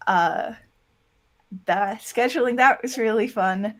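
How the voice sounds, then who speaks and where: A woman's voice: a little high, slow, fairly bright tone. Casual, candid woman in an interview. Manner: candid, informal, heavy use of "like". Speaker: interview guest, audio drama producer and voice actor.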